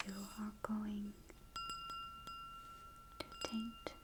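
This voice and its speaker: A woman voicing a ghost guide in an ASMR roleplay, in a breathy whisper with slow, hushed, deliberate instructions. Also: mid-range voice, completely flat delivery, heavy background noise. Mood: hushed, eerie. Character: ghost guide briefing a newcomer to the afterlife. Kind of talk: soft-spoken ASMR roleplay.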